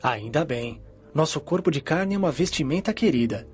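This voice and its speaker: A man's voice: on the high side, lively intonation, normal speed, breathy edge, faint music behind. A man playing a kindly, reassuring spirit guide with a Brazilian accent in audiobook drama dialogue. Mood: calm, reassuring.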